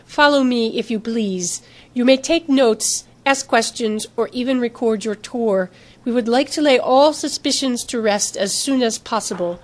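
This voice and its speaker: A woman playing a smooth, cautious, authoritative female research doctor in an audiobook, speaking with polite formality. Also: a little high, unhurried, lightly acted.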